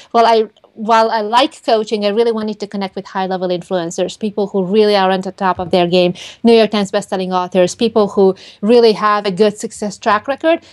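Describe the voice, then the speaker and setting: Woman, mid-pitched voice, chatty, conversational, interview guest. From Hungary and Romania. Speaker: interview guest, PR and marketing expert.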